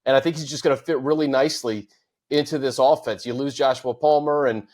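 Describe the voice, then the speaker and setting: A man hosting a sports podcast, smooth and even, laying out points in steady lists. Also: medium pitch, a little fast. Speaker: podcast host.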